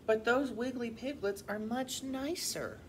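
A woman voicing a gentle mother hen in a storybook, wistful and easily upset, with a plaintive, sighing way of talking. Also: on the high side, unhurried, noisy background, voice pushed up, natural voice. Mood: wistful, hopeful.

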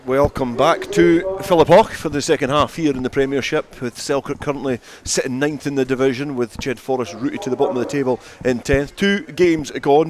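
A man giving live play-by-play rugby commentary from the ground. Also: medium pitch.

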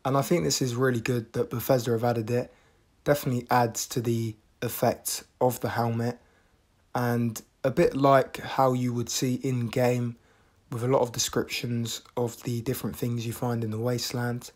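A man giving an unboxing review voice-over in a measured, matter-of-fact way. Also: medium pitch, flat delivery. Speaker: video reviewer.

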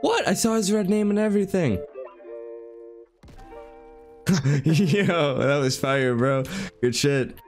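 A man's voice: a little high, lively intonation, slow, pauses often, faint music behind. A young man in his twenties with a stuffed-up, nasal voice from a cold, chatting upbeat over gameplay as a gaming YouTuber. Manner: upbeat, hyped, chatty with friend. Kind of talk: casual gameplay commentary. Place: small room at home.

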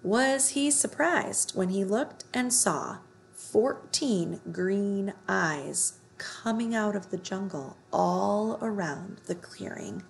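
A woman in her thirties reads a children's book aloud as narrator, with expressive, emphatic storytelling. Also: medium pitch, gravelly, a little hiss or hum. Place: living room on a couch, indoors.

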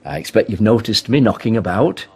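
A self-made young Englishman in a radio drama. His voice is low and husky, and he comes across as brash and ambitious, a little awkward in company. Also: lively intonation, a little fast, a little mellow, full character voice. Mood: cocky, insistent. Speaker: actor in an audio play. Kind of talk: British radio drama acting.

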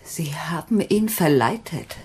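Worried wife in a radio crime play, really husky breathy voice, tense and wont back down. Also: female voice, low-pitched, animated, a little slow, darkish tone, full character voice. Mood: insistent. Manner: tense, insistent. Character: anxious, determined wife of a stricken musician. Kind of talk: German radio crime drama acting.

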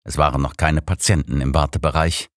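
A man's voice: rumbling low, flat delivery, fairly quick, dry recording, clean studio sound. A man narrating a story in the first person as a scripted audiobook reading.

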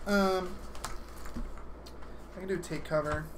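Clear background noise surrounds a man with a slightly high voice. He talks casually at a tabletop game on a livestream, working out plays in a hesitant way. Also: steady tone, slow pace. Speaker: board game player. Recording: noisy livestream capture with room ambience.

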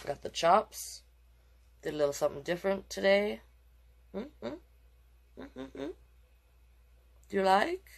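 A woman's voice: mid-range voice, sing-song, slow pace, vocal fry, long pauses. A woman hosting a children's storytime, reading picture books aloud with playful, encouraging asides to young listeners. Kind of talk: picture-book read-aloud with chatty asides.

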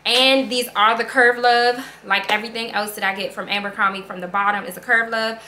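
A woman's voice: fairly high pitch, steady tone, a little fast, pushed and bright, a little hiss or hum. A woman in her thirties doing a casual clothing haul to camera at home, chatty and upbeat, calling viewers y'all and sis. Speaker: fashion vlogger. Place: living room with plants, indoors. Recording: echoey room.

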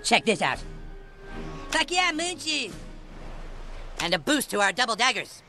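A chubby, scheming brat from a cartoon game, bossing the other kids around. His voice sits high and lurches up and down, whiny one moment and shouting the next. Music plays behind him. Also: sing-song, unhurried, exaggerated voice. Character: bratty scheming chubby boy, bossy and boastful. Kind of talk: cartoon game cutscene acting.

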